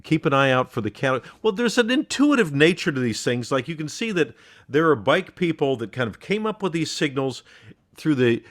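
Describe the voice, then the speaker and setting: A man's voice: a little high, sing-song, fairly quick, fairly bright tone, no echo, studio quality. A man co-hosting a podcast in casual comic banter, riffing with mock exasperation and repeating phrases for emphasis. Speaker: podcast co-host.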